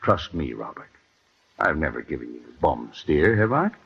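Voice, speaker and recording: A man's voice: a bass voice, sing-song, normal speed, vocal fry, fairly bright tone, breathy edge, heavily acted. An actor playing a kindly, persuasive boss in an old-time radio drama, earnest, coaxing and fatherly. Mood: reassuring, fatherly. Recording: dull vintage radio drama recording.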